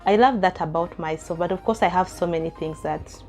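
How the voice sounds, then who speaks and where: A woman's voice: fairly low pitch, fairly quick, a little mellow, soft background music. A woman in her thirties with a Ugandan accent, chatting casually as a vlogger and reading out viewer questions before answering them with asides and light laughs. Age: 30s. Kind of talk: casual Q&A chat to camera.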